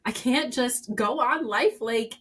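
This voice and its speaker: A woman in her thirties, a podcast guest, talking earnestly and emphatically, her voice climbing high and loud when she stresses a point. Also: takes it slowly. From Puerto Rican and Dominican background. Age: 30s. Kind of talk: candid personal interview.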